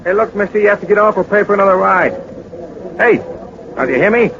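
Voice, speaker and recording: Brash showman barker, high male voice, declamatory, 1940s radio drama, noisy recording. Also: quick pace, dull audio. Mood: alarmed. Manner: showy, declamatory. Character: brash carnival barker at a roller coaster.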